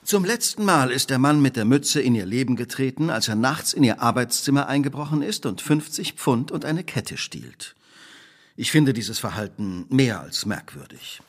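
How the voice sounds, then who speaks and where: An actor playing a shrewd, self-assured detective who speaks precisely and probingly in a radio play. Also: male voice, medium pitch, animated, moderate pace. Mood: incisive, deducing. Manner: precise, probing, imperious deduction. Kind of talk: dramatic radio play dialogue.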